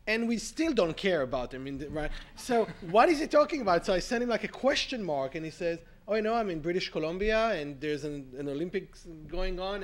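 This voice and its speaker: A man with an Israeli accent giving a conference talk as a law professor, with a nasal voice and congested from a cold, talking in an animated way and often tagging his points with "right?". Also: high-pitched. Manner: animated, frequent "right?" asides, self-deprecating.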